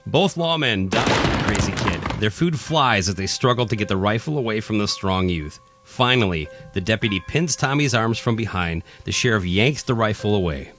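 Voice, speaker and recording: A man's voice: fairly low pitch, animated, moderate pace, hardly any pauses, music in the background. A man narrating a scripted horror radio play with dramatic storytelling delivery. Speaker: narrator and podcast host.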